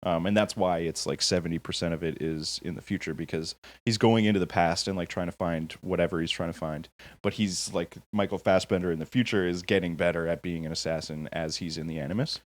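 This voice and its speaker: A man's voice: sits low, steady tone, brisk. A man chatting casually as a podcast host, rambling a little and often correcting himself mid-thought. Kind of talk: casual opinionated group chat.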